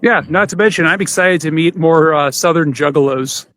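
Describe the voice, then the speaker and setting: A man's voice: fairly high pitch, moderate pace, a bit bright, dry recording. A man hosting a podcast in casual chat, talking in a loose, informal, rambling way. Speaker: podcast host.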